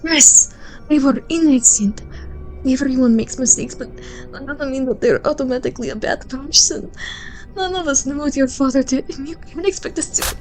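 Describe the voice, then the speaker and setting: A woman playing a distressed, pleading woman detective in an ASMR roleplay, halting and dramatic. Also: sits high, faint music behind, pitched above the performer's own voice, natural voice. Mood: hurt, defiant. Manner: halting, breathy stammering, dramatic.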